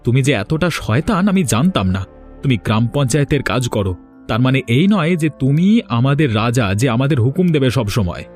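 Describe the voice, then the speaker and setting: A man's voice: medium pitch, lively intonation, moderate pace, a little mellow, soft background music, lightly acted. A man voicing a hard-up, determined village snack seller, earnest and outspoken, in a cartoon. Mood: indignant. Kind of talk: Bengali moral-story cartoon dubbing.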